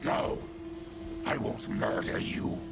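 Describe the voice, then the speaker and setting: A man's voice: takes it slowly, natural voice. A man voicing a grim, honourable monstrous warrior in a video game, growling in terse commands. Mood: solemn. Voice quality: gravelly, growling. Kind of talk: video game cutscene acting. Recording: loud music behind.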